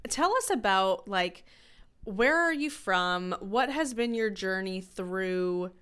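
A woman's voice: mid-range voice, animated, slow pace, fairly bright tone, smooth. A woman hosting a podcast, asking questions in an upbeat, affirming way. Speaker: podcast host. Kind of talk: casual podcast chat.

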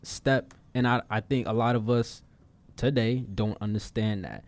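A man's voice: medium pitch, fairly even intonation, a little slow, darkish tone, no room sound. A man co-hosting a casual podcast chat, slangy and playfully teasing the others.

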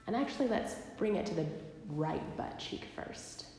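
A woman leading a guided body scan meditation, speaking gently and unhurriedly with long pauses. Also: fairly low pitch, animated, faint music behind. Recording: very echoey live recording, long reverb.

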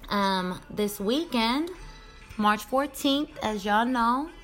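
A woman's voice: a little high, animated, slow, a bit bright, poor audio. Woman, thirties, casual vlog talk, candid and chatty, faint background music. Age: 30s. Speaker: vlogger.

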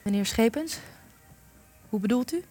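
A woman's voice: fairly high pitch, expressive, unhurried, dark tone, faint music behind. An actress playing an earnest young female interviewer in a Dutch radio play, speaking carefully and deferentially. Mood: polite, tentative.

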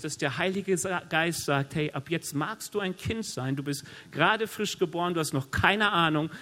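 A middle-aged man with a cold, preaching a sermon in a lively, conversational way with joking asides. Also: sits high. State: has a cold. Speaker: pastor. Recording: long hall reverb.

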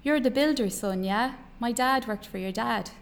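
A woman's voice: a little high, normal speed, gravelly. A woman reading aloud from a novel as an intense, insistent and chatty young Irish woman.

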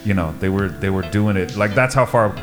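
A man's voice: low voice, a little fast. A middle-aged man from Iceland, a guest DJ talking casually on a radio show in a laid-back, slangy, enthusiastic way. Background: music.

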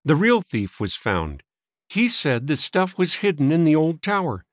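Man voicing an earnest, polite teenage boy, pitched up. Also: sing-song, measured pace, no room sound, studio quality. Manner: polite, respectful, confident. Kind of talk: audiobook character voice.

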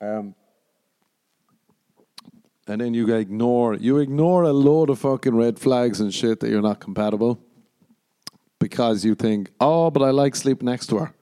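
A young Irish man hosting a podcast, rambling and swearing as he jokes, jumping into high squeaky voices for the punchlines. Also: a little slow, pauses often, warm tone, smooth. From Ireland. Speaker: podcast host. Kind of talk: casual comedic monologue.

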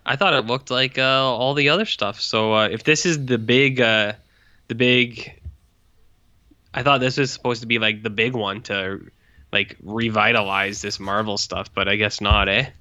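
A man's voice: fairly low pitch, fairly even intonation, moderate pace, sharp tone, no room sound. A man with a Canadian accent co-hosting a podcast in casual, rambling chat, teasing and asking questions. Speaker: podcast co-host.

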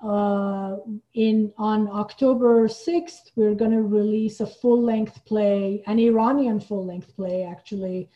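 A woman's voice: mid-range voice, fairly even intonation, slow pace, highs cut off. A woman from Iran speaking thoughtfully and hesitantly as a guest in a conversational interview. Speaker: guest, playwright and theater artistic director.

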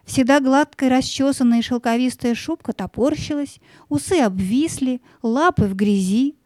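A woman narrating a fairy-tale audio play in an expressive, theatrical storytelling manner. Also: high voice, normal speed, dry recording, studio quality.

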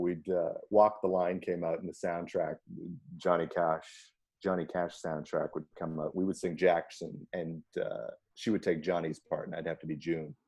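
A man with a very low, mellow baritone talks loosely about music. He rambles with frequent ums and breaks into easy laughs, relaxed and conversational. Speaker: singer. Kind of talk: casual conversation.

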